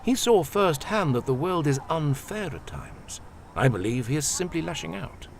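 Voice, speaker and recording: A man playing a calm, fatherly high priest in a fantasy audio drama, speaking in a measured, gently admonishing way. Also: vocal fry, slight background noise. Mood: calm, reassuring.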